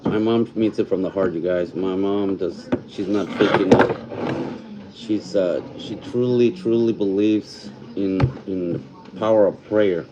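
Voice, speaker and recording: A man in his fifties from California hosting a casual livestream from home, reading out viewer comments and names, thanking people warmly and slipping in Spanish phrases. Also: low voice, muffled recording, very noisy. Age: 50s. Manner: warm, thankful, reads out viewer names slowly; mixes Spanish phrases into English. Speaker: livestream host.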